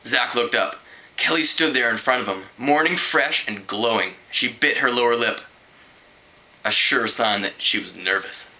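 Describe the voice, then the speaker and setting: A man reading a storybook aloud as an amateur narrator, expressive and mock-dramatic. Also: mid-range voice, measured pace, poor audio. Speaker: amateur book reader.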